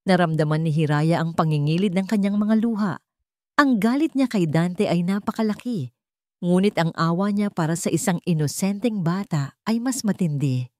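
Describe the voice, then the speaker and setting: A woman's voice: fairly low pitch, animated, moderate pace, warm tone, no room sound, studio quality. A woman narrating a scripted dramatic story as its storyteller.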